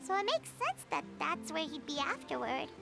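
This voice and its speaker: A woman's voice: sits high, very animated, moderate pace, gravelly, heavily acted. A woman voicing a tiny, chirpy, childlike fairy girl companion in an anime-style game. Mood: chirpy, curious. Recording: game music playing over the voice.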